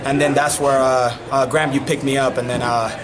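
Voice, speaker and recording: A young man from the Dominican Republic, a native Spanish speaker, giving a relaxed post-match interview as a college wrestling coach, full of you-knows. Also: mid-range voice, moderate pace. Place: wrestling tournament arena. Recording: loud arena crowd behind. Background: crowd noise.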